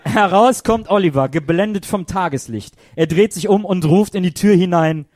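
A man's voice: sits high, normal speed, a little mellow. A man narrating a radio play in a dramatic, scripted storytelling style.

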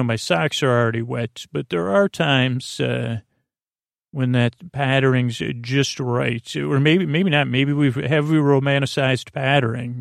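American man, creaky fry, dry close sound, halting rambling delivery, bedtime podcast monologue, meandering tangents. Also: medium pitch, dry recording. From United States. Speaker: podcast host. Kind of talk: rambling bedtime monologue to lull listeners to sleep.

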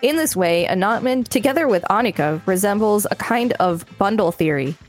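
A woman co-hosting a podcast, reading an article aloud in a measured way with light commentary. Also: medium pitch, lively intonation, soft background music.

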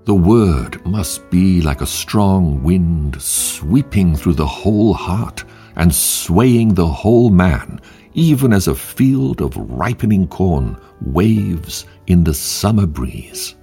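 Male host reading devotional quotes, slow and deliberate, expressive melody, faint music underneath. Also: sits low. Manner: measured, emphatic reading with long pauses. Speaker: podcast host and devotional reader.

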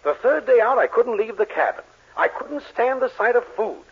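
Pompous, swooping middle-aged husband. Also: male voice, extremely high pitch, very animated, moderate pace, husky, full character voice. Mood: wry complaining. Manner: pompous, dryly sarcastic. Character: fussy, scheming middle-aged husband, wryly exasperated boss. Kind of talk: 1940s radio sitcom acting. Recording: dull, noisy 1940s radio recording.

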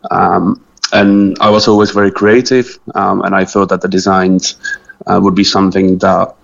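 A man's voice: low-pitched, level tone, normal speed, creaky, muffled recording. A man with a Czech accent, a native Czech speaker, answering casually as an interview guest in a hesitant, modest way. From Czech Republic. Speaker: interview guest, small clothing business founder.

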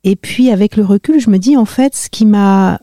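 A woman in her fifties from the Paris region, a podcast guest talking hesitantly with many pauses and fillers. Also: mid-range voice, mellow, no echo, clean studio sound. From Paris region, France. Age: 50s. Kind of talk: podcast interview.

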